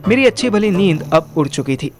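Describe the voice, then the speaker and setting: Young man, fairly high voice, colloquial storyteller, Hindi-English mix, dramatised first-person narration, faint music. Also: expressive, brisk. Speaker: narrator and lead character.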